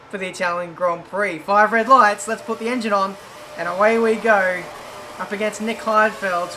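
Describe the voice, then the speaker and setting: A man with a British accent talking over his racing game in a chatty, excitable play-by-play, his voice a little croaky. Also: sits high, background noise. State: slightly croaky. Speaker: gaming YouTuber.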